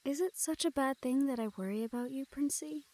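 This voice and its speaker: A woman playing a teasing, protective shadow-folk thief in an intimate fantasy audio roleplay, speaking softly and often dropping toward a murmur. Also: high-pitched, brisk, dry recording, close to an everyday voice. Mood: fond, teasing.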